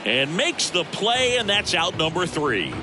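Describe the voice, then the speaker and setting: A man playing an alert baseball play-by-play announcer in a video game, quick to rise with the action. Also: high-pitched, very animated, rough recording. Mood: alert, building excitement. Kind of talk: sports commentary in a video game. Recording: loud stadium crowd and ambience behind. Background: ballpark crowd noise.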